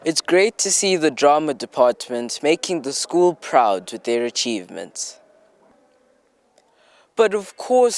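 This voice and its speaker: A man's voice: mid-range voice, a little mellow, clear, resonant tone. A man reading scripted news narration for a newsreel.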